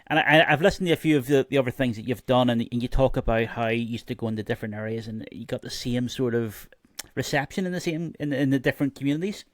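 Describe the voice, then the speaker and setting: Male podcast host, Belfast accent, middle pitch, dry close sound, measured and halting. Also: dry recording. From Belfast, Northern Ireland. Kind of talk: informal podcast interview.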